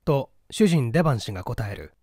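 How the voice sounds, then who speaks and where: A man's voice: mid-range voice, sing-song, brisk, darkish tone, no room sound, studio quality. A man reading a mystery novel aloud as dramatic literary narration. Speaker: audiobook reader.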